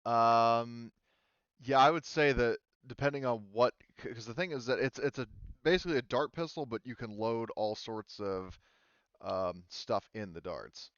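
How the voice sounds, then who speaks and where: A man speaking casually as a game master at a tabletop game, explaining things in a rambling, halting way. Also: mid-range voice, fairly bright tone, clear, resonant tone, dry recording, highs cut off.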